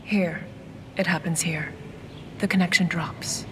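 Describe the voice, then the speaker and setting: An actress playing a mysterious, ominous watcher of dreams in a fantasy audio drama, speaking in a cryptic, deliberate way. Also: female voice, on the low side, steady tone, noisy background, natural voice. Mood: grave.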